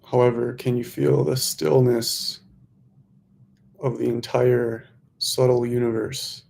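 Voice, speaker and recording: Slow, creaky-voiced man guiding meditation. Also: medium pitch, level tone. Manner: slow, pausing, gently instructive phrasing. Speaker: meditation guide. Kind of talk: guided meditation.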